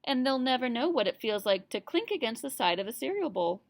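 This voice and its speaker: Woman as a soothing mother spoon, lively pitch. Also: fairly quick, vocal fry, no echo, heavily acted. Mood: reassuring. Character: caring mother spoon, gentle and reassuring.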